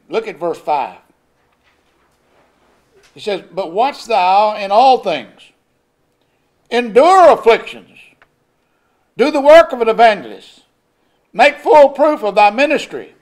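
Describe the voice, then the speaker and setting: Older man, high-pitched preacher, builds emphasis, chuckles now and then, pulpit mic. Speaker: pastor. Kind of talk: sermon. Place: church sanctuary at a pulpit. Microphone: pulpit microphone.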